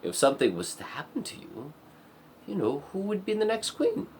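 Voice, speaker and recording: Man doing a fussy, high, swooping palace guard. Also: huge pitch swings, moderate pace, a little mellow, a little hiss or hum. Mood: fussy pleading. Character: fussy, insistent palace gate guard pleading formally.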